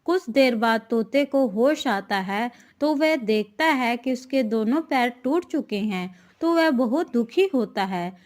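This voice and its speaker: A woman's voice: on the high side, animated, slow pace, few pauses. A woman narrating a scripted moral tale for a cartoon as the storyteller.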